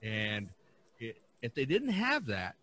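A man with a bright, forward voice talks casually as a podcast host. His storytelling is enthusiastic and animated, at an average pitch for a man. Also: slow. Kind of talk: casual art history discussion.

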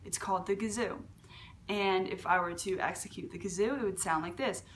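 Young woman doing a casual beatbox how-to on her laptop cam, kinda low voice, lots of ums, faint hiss in the room. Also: fairly quick. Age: young adult. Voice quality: lower voice for a woman. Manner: chatty, with fillers and restarts. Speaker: beatbox tutorial host. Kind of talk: casual video tutorial. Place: large living room at home.

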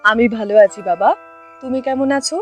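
A woman's voice: on the high side, a little fast, soft background music, close to an everyday voice. A woman playing a kindly, hospitable middle-aged village mother in a cartoon story. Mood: welcoming.

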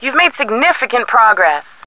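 Perky young female game sidekick, loyal, animated, bright voice, lively swoops, dry sound. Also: on the high side, normal speed, no echo, poor audio. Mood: encouraging, teasing. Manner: animated, supportive. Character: perky, loyal, expressive girlfriend of a young detective. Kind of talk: video game voice acting, phone dialogue.

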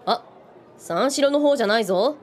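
Actress as a brash, lively tough-talking woman. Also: a little high, normal speed, slight background noise, lightly acted. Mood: teasing. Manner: blunt, teasing, casual rough wording. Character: tough, brash, bookish woman with blunt mannish speech. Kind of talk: comic radio drama dialogue.

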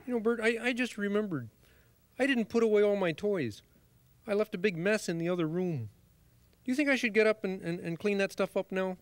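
A high, carefree puppet roommate. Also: male voice, animated, normal speed, a bit bright. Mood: hushed. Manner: breezy, oblivious, chuckling. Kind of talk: children's TV puppet comedy.

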